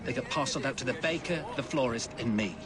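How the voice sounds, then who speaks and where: A man's voice: medium pitch, quick pace, close to an everyday voice. A man playing an evasive, secretly sinister tradesman in a video game. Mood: sinister confession. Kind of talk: video game dialogue acting.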